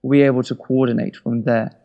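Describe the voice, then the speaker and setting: Man acting a calm, ruthless government minister. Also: mid-range voice, brisk, creaky, lo-fi sound, close to an everyday voice. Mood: cold resolve. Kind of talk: audio drama acting.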